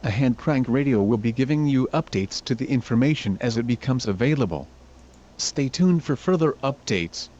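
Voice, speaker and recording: A man's voice: mid-range voice, animated, normal speed, dark tone. A synthetic text-to-speech man's voice reading a scripted emergency alert in a formal, stilted, automated way. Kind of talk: scripted emergency broadcast announcement. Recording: dull lo-fi audio.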